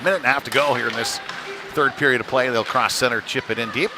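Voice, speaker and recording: A man doing live hockey play-by-play commentary in a halting delivery with mid-sentence pauses. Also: medium pitch, over music. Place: indoor ice rink during a game. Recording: noisy rink sound behind the voice. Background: clear venue noise.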